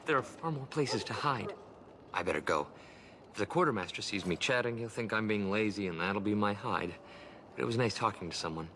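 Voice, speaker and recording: An actor playing a nervous young elf servant in a video game, stammering and deferential. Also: male voice, fairly low pitch, animated, a little fast, a bit bright, slight background noise. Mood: hurried.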